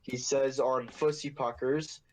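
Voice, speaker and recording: A man's voice: mid-range voice, fairly even intonation, measured pace, a little hiss or hum, poor audio. Young guy telling a rowdy story. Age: young adult. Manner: profane, rambling, jokey. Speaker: podcast host. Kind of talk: casual chat, storytelling.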